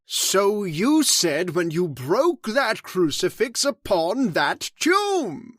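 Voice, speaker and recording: A woman's voice: medium pitch, very animated, dry recording, studio quality. A woman playing a solemn older male clergyman in a radio horror drama, grave and ominous. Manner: grave, slow, warning. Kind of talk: old-time radio drama acting.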